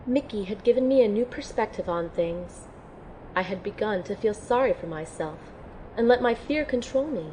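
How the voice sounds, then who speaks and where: An earnest, introspective young heroine played by an actress in an audio drama, wounded and unsure of herself but reflective. Her voice is mid-pitched, with clear ambient noise behind it. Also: lively intonation, normal speed, mellow, natural voice, poor audio. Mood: reflective, resolute. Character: wounded, self-doubting young woman, reflective and resolute. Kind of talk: audio drama dialogue and first-person narration.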